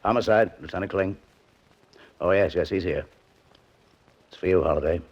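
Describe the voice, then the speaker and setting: An actor playing a gruff, matter-of-fact homicide lieutenant in a 1940s radio drama. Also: male voice, low-pitched, moderate pace, noisy background, close to an everyday voice. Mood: gruff, advising. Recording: dull vintage 1940s radio recording.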